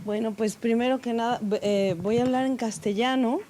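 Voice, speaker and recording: A woman speaking earnestly at an academic conference panel, halting and full of fillers, openly nervous. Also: a little high, fairly even intonation, mellow, slight background noise. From Mexico. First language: Spanish.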